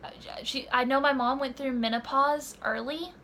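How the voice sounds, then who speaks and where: A woman's voice: sits high, steady tone, normal speed, sharp tone, a little hiss or hum. A woman in her early twenties chatting casually to her camera at home, rambling with many fillers and restarts. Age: 20s. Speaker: vlogger. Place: home room.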